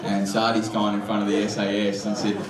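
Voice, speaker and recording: A man hosting a comedy show, introducing acts with loud showman energy. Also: fairly low pitch, monotone, a little fast, very noisy. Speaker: host. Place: comedy club stage. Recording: very echoey far-off club sound, loud crowd.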